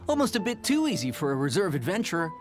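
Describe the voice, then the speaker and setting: A sly merchant, slick as he names his price. His voice is high with a lively lilt. Game music plays behind. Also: quick pace. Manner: slick, salesy. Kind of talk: game voice acting.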